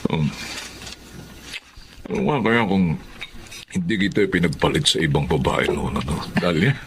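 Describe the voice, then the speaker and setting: An actor playing a sickly, regretful old ex-husband in a radio drama, speaking slowly and haltingly. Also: male voice, deep voice, very animated, noisy background, full character voice. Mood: weary regret. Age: older. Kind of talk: Tagalog radio drama acting.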